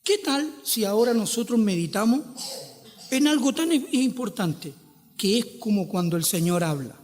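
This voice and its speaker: A man's voice: sits high, lively intonation, moderate pace, darkish tone, long reverb tail. A Chilean man preaching a sermon, posing rhetorical questions and stretching out quoted scripture with emphasis. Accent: Chilean Spanish. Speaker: pastor.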